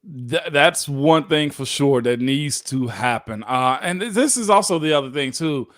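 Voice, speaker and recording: A man's voice: medium pitch, expressive, moderate pace, fairly bright tone, no room sound, studio quality. A man in his thirties, a former football player, co-hosting a sports talk show in a laid-back, chatty way.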